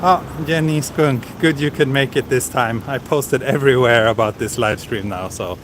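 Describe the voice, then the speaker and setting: A man's voice: medium pitch, moderate pace. Chatty man on a street live stream. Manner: chatty, reads viewer comments aloud, hesitant fillers. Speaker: livestream walking vlogger. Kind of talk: casual live-stream chat. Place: outdoors on a quiet city street. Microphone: handheld camera with small recorder mic and wind cover. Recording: street noise around handheld mic. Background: city street ambience.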